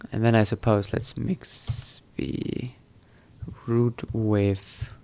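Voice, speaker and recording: A man narrating his role-play gameplay in character, musing to himself in an unhurried, gravelly voice. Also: fairly low pitch, level tone, warm tone. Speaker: gaming video host, role-playing player.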